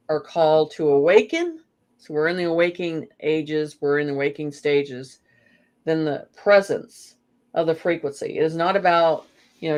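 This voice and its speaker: Earnest, meandering spiritual guest speaker. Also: medium pitch. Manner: meandering, many fillers and restarts, earnest. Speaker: guest, remote viewer. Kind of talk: rambling spiritual talk.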